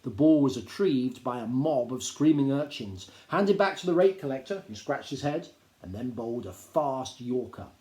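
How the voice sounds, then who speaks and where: A man narrates a literary story with measured, dry humour. His intonation is lively and varied. The recording is roomy, with audible echo around the voice. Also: medium pitch. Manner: measured, drily humorous storytelling. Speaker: teacher reading aloud. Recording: roomy, echoing indoor sound.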